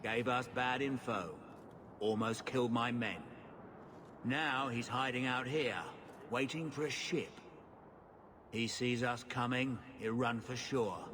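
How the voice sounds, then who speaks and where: An actor playing a blunt, rough, plain-spoken male mercenary captain in a video game. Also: mid-range voice, fairly even intonation, slow, noisy background, lightly acted. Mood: cold, businesslike. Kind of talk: video game cutscene acting.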